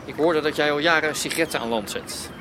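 An actor playing a cool, businesslike gangster in a Dutch radio drama, terse, commanding and matter-of-fact. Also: male voice, fairly high pitch, quick pace, close to an everyday voice. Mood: firm, businesslike. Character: cool, businesslike gangster arranging smuggling deals. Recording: clear ambient noise behind voice.

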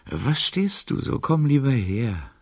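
An actor playing a frail, wry, world-weary old gentleman in a poetic radio drama, halting and often near a whisper. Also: mid-range voice, sing-song, no echo, exaggerated voice. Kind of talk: German radio drama acting, poetic verse.

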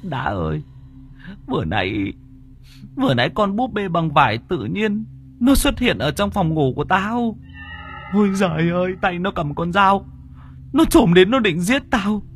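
A man's voice: sits high, very animated, unhurried, pauses often, soft background music, voice pushed up, full character voice. A man voicing a terrified young man in a spoken horror story, stammering and pleading for help.

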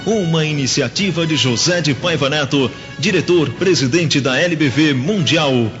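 Over prominent music, a Brazilian man announces radio credits formally. His voice is fairly high and his reading emphatic and measured. The recording is a little dull.

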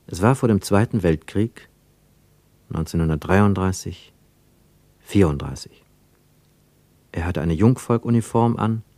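A man's voice: low voice, warm tone, dry recording. A man narrating a scripted literary radio play as a solemn, dramatic storyteller. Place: dry radio studio.

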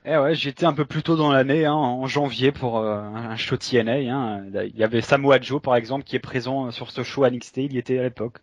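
A man from Strasbourg co-hosting a casual podcast, relaxed and tagging phrases with little fillers. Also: medium pitch, brisk, hardly any pauses, dry recording, muffled recording. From Strasbourg, northeastern France. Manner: relaxed, tags "hein" and "ouais". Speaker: podcast co-host.

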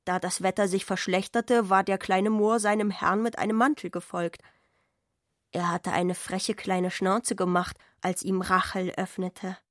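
A woman's voice: medium pitch, little pitch movement, measured pace, no echo, clean studio sound. A woman narrating a literary story for a radio play in a scripted storytelling style.

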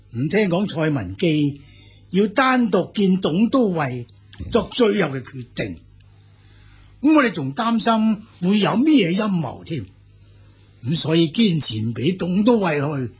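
An earnest yet insinuating court informant in a Hong Kong Cantonese radio play. The voice is high-pitched and very raspy, rising and falling with obsequious eagerness. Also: male voice, exaggerated voice. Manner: obsequious, animated storytelling. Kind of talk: Cantonese radio drama acting.

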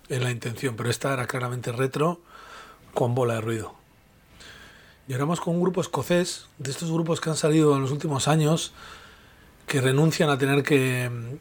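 Husky man chatting about music. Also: mid-range voice. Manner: rambling, stumbles over band names. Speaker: radio music show host. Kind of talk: casual music commentary.

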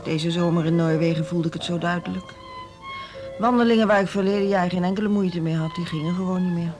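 An actress in a radio drama playing a proud, disapproving middle-aged music teacher with an articulate, earnest and cutting manner. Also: female voice, lowish voice, fairly even intonation, fairly quick, close to an everyday voice. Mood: wistful.

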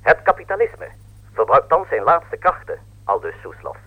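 A dull, lo-fi radio broadcast carries a male news correspondent. He declaims formal statements with big swings of pitch and a husky edge. The delivery is solemn and scripted, as in a radio drama. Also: wildly swooping pitch, moderate pace. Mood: declamatory, emphatic.

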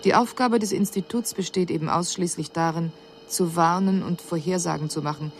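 An actress playing a female alien emissary, giving a measured, formal and precise report in a radio drama. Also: lowish voice, slight background noise, natural voice. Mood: cool, concerned. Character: female alien emissary, cool observer puzzled by human inaction.

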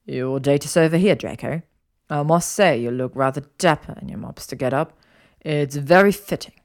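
A woman's voice: low-pitched, normal speed. A woman voicing an airy, assured, somewhat bossy young male wizard hosting a party in a story. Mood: exasperated.

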